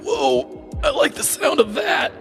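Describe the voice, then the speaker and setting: Woman acting as dreamy stoner husband, comic podcast story, very animated swooping pitch, drawn-out delivery, faint music behind. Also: creaky, full character voice. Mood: excited, impressed.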